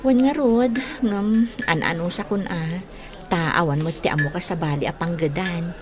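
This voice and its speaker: A woman's voice: on the low side, moderate pace, crowd noise, natural voice. A woman acting a modest, sincere young sweetheart in an Ilocano radio drama, speaking politely and with care. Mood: resigned, grateful.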